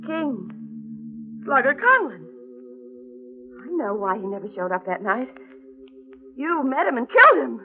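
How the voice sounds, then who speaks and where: A woman's voice: high-pitched, very animated, unhurried, pauses often, heavily acted. A woman playing a desperate, bitter, defiant wife in a 1940s radio melodrama, emotional and accusing. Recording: thin, muffled 1940s radio recording.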